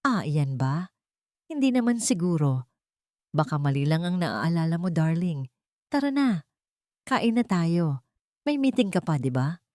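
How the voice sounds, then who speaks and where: A woman's voice: on the low side, expressive, unhurried, long pauses, mellow, no echo, studio quality. A woman voicing a sweet-faced, ambitious, scheming fiancée in an audiobook drama. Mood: evasive. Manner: sugary, evasive, secretly haughty.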